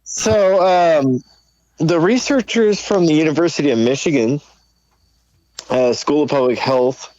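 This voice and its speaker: A man's voice: on the high side, measured pace, darkish tone, no room sound. A man co-hosting a podcast, talking casually on a slightly dull recording. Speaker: podcast co-host.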